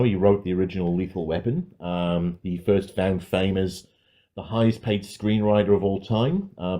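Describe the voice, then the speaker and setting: A man's voice: deep voice, a little mellow. A man co-hosting a podcast, chatting casually about films in a wry, sweary, self-mocking way. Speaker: podcast co-host. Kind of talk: casual film review chat.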